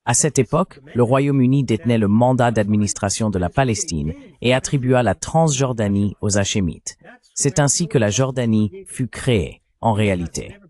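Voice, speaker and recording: A man reading a measured, explanatory French voice-over of an interview. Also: mid-range voice, lively intonation, a little mellow. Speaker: translation voice-over.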